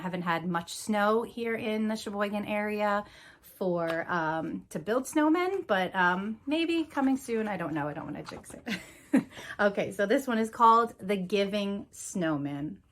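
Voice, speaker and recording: A woman hosting a children's storytime, talking casually and reading a picture book aloud. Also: medium pitch. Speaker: children's museum staff storytime host.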